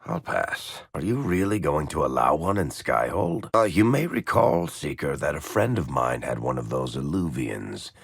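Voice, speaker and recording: Gravelly American male rogue, video game banter, wry, teasing, very animated intonation, close dry sound. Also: extremely low pitch, normal speed, few pauses, no echo, full character voice. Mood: guarded, dry. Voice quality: gravelly, husky. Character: wry roguish male storyteller, sardonic and loyal. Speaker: game character voice actor.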